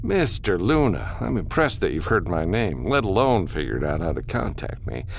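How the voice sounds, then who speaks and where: A man voicing a smooth, aloof criminal businessman in an audiobook, formal and condescending. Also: deep voice, very animated, normal speed, pitched above the performer's own voice, full character voice. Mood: cool, polite. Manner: formal, coolly polite, condescending.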